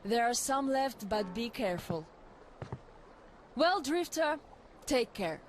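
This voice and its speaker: An actress voicing a tough, practical young woman who leads a forest community and gives the hero quick directions. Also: on the high side, very animated, slight background noise. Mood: brisk. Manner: brisk, practical, giving directions. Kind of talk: video game cutscene voice acting.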